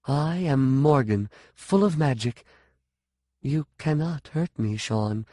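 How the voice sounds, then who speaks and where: A man's voice: medium pitch, expressive, slow, warm tone, no echo, voice pushed up. A crazy old sorceress out of a storybook, played by a man, coaxes and wheedles. She is condescending yet childlike, and the recording is dry and close to silent around the words. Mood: somber, proud. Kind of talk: audiobook character dialogue.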